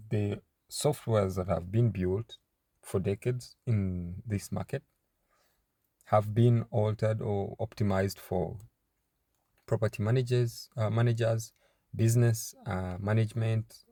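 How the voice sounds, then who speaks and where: A young man from Uganda talks about himself and his startup, pausing often and restarting his sentences. His low voice has a gravelly fry and a dark, mellow tone. The sound is close and dry. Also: dry recording. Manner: halting, many fillers and restarts. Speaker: startup founder. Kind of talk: casual personal introduction to camera.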